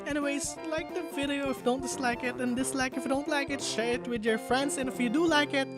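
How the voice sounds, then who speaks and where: A woman's voice: high-pitched, steady tone, fast. A woman narrating a scripted video game review in an earnest, chatty way on a failing home microphone. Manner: earnest, chatty, a little rambling at the end. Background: background music.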